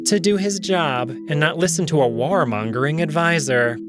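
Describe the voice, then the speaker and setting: A man's voice: fairly high pitch, normal speed, over music, pitched above the performer's own voice, lightly acted. A man voicing a sardonic jackal palace noble who speaks in an exasperated, pointed way in a visual novel reading. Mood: dry, irritated.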